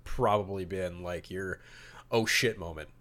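Male podcast host, lower register, emphatic and opinionated, switching between narration and casual commentary. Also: unhurried, fairly bright tone. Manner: opinionated, emphatic, mildly profane. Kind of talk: podcast talk mixing read-out storyboard summary and casual commentary.

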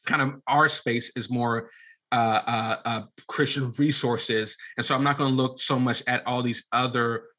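A man's voice: mid-range voice, steady tone, measured pace, pushed and bright. A man in his late twenties answering as a podcast guest, emphatic and conversational, often repeating phrases and restarting as he explains his venture. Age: late 20s. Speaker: podcast guest, media app founder.

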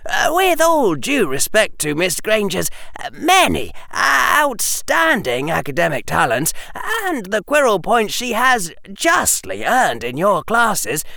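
A man's voice: high-pitched, huge pitch swings, takes it slowly, vocal fry, pushed and bright, dry recording, clean studio sound, pitched above the performer's own voice, heavily acted. A man voicing an earnest, precocious schoolboy wizard in a storybook, with wordy, hesitant phrasing. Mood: politely critical.

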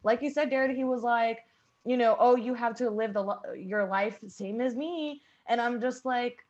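Female podcast co-host, slightly high-pitched, conversational and slangy, chatting casually with a group. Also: moderate pace, a little mellow, lo-fi sound.

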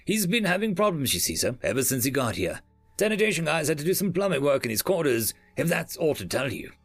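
A man's voice: a little high, big pitch swings, quick pace, dry recording, faint music behind, pitched above the performer's own voice. A man voicing a boastful, chatty male chef who rambles in folksy slang.

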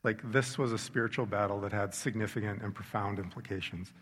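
A middle-aged man with a fairly low voice shares a heartfelt faith testimony. He is measured and reflective, and he pauses and slows down to stress his points. His words ring out with a long hall reverb. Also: steady tone, fairly bright tone, husky. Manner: sincere, measured, with slow emphatic pauses. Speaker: speaker giving a personal faith testimony. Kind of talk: earnest reflective testimony talk.

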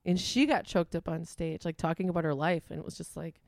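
A young woman with a Wisconsin accent talks in a chatty, easygoing way, with lots of "like" and "um". Her voice sits a little low and sounds smooth and clear. It is recorded close and dry, with no room sound. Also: fairly even intonation, fairly quick, a little mellow, no echo. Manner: chatty, frequent "like" and "um", laughs easily. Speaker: musician guest. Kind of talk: casual interview chat.

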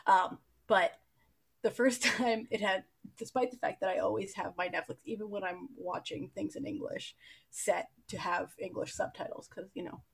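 A woman hosting a casual podcast, talking in a gravelly, husky voice. She keeps things jokey and chatty, with lots of fillers. Her pitch sits mid-range. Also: steady tone, moderate pace, rough recording. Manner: joking, chatty, many fillers. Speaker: podcast host.

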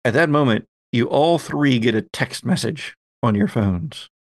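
A man's voice: mid-range voice, animated, unhurried, no echo. Man game master, close dry sound. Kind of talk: improvised narration of a tabletop game.

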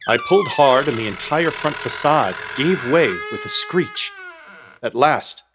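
A man's voice: on the high side, wildly swooping pitch, unhurried, music in the background. A man narrating a comic horror radio play in an ornate, mock-grave, wry storytelling manner.

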